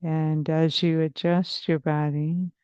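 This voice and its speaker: A woman therapist leading a guided meditation in a soothing, measured, hypnotic manner with long pauses, on slightly muffled audio. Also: low-pitched, level tone, warm tone, clear, resonant tone, no echo. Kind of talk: slow guided meditation narration.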